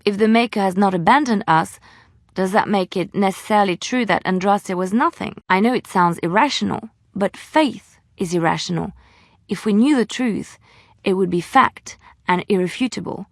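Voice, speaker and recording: An actress playing a gentle, devout young woman in a fantasy video game, speaking earnestly and wistfully, with lyrical phrasing. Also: medium pitch, normal speed, dry recording, studio quality, close to an everyday voice. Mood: reverent, thoughtful. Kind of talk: video game companion dialogue.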